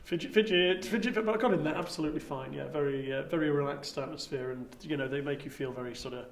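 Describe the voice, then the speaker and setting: A man with a slightly high voice talks casually, as in an interview. The room is very echoey and he sounds some way from the microphone, with faint noise underneath. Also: fast, distant mic. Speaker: audition contestant.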